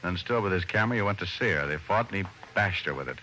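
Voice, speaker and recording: Old radio private eye, brisk n clipped, forceful low voice, bit of hiss. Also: male voice, animated, faint music behind, rough recording. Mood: musing, intent. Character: determined, quick-witted male private detective with dry humor. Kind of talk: old-time radio detective drama acting. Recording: hissy old-time radio recording.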